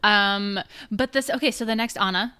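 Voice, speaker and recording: A woman's voice: mid-range voice, moderate pace, clean tone. A woman co-hosting a podcast in a casual chat, speaking English as a second language and laughing often. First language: not English. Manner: laughs often, lively and playful. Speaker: podcast co-host. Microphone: remote call.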